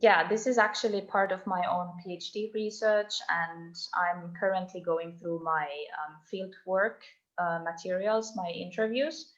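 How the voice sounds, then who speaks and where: A woman's voice: on the low side, steady tone, unhurried, fairly bright tone. A woman giving an online talk about her research, thoughtful and full of ums and restarts, heard over a video call. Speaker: invited speaker, doctoral researcher. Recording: dull lo-fi online call audio.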